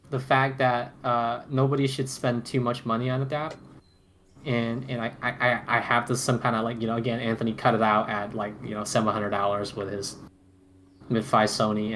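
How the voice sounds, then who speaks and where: A man's voice: medium pitch, a bit bright, a little hiss or hum. A man co-hosting a casual roundtable podcast about gear, talking emphatically with repeated phrases and casual swearing. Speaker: podcast co-host.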